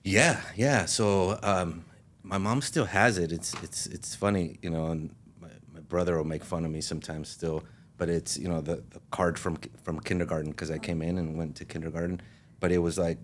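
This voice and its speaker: Man, from Mexico, low voice, relaxed storyteller, interview guest, laughs easily. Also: normal speed, a little hiss or hum. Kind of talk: casual interview chat.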